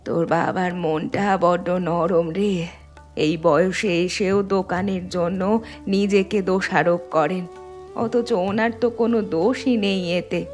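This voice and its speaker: A woman voicing a practical, soothing middle-aged village mother in a cartoon story, gently bossy. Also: fairly low pitch, measured pace, soft background music, natural voice. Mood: gentle, practical.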